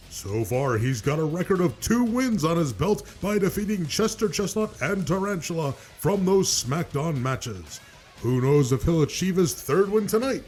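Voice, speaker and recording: Dry cartoon co-commentator played by a man, slightly high, unhurried, with lively intonation. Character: grudging, dry male cartoon wrestling co-commentator. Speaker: sports commentator. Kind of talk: amateur web cartoon acting and commentary.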